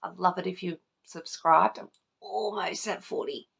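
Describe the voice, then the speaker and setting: A woman's voice: lowish voice, a little slow, creaky, a bit bright, husky. A woman talking casually to camera as a vlogger, informal and sweary, gushing over things she loves. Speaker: book vlogger, channel host. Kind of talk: casual chat to camera, book tag video.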